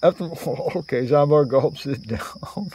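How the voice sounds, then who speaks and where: A man in his sixties telling a rambling, improvised story in a theatrical, halting way, full of digressions and pauses. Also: a little high, a little mellow, breathy edge. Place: outdoors under cover among greenery. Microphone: phone at arm's length.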